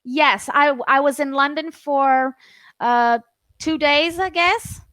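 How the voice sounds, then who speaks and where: A woman's voice: sits high, slow, sharp tone, no room sound. A Canadian woman tutoring English over a video call, chatting casually and hesitating often with fillers and restarts. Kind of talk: casual online conversation lesson.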